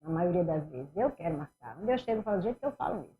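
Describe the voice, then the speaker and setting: A middle-aged woman with a Northeastern Brazilian accent, teaching an animated online exam-prep class and talking students through each step. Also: on the low side, racing, a little hiss or hum. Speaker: Portuguese teacher. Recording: somewhat dull lo-fi sound.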